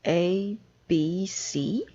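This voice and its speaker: A woman's voice: fairly low pitch, drawn-out words, mellow, slightly rough, dull audio, pitched above the performer's own voice, full character voice. A woman reading a children's storybook, playing a strict, impatient male orchestra conductor with a stern, emphatic delivery. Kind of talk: children's storybook read-aloud.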